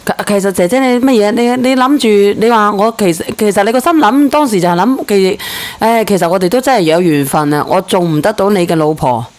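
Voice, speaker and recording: A woman's voice: mid-range voice, quick pace, a little mellow. A woman hosting a live relationship call-in, probing callers in a blunt, teasing way. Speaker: host of a call-in show.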